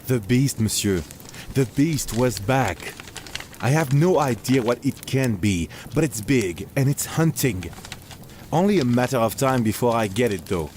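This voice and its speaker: A man acts a dutiful night guard in an audio drama. He is earnest, plain-spoken and deferential, with an average-pitched voice. Some noise sits behind the speech. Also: expressive, measured pace, darkish tone, natural voice. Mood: uneasy.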